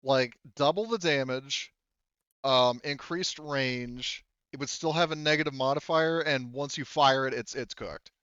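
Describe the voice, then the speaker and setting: A man with a mid-pitched voice acts as game master in a casual tabletop session. He reasons out loud as he lays down rules. The recording is slightly dull and very dry. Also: a little slow, clear, resonant tone, no echo. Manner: thinks aloud while explaining rules.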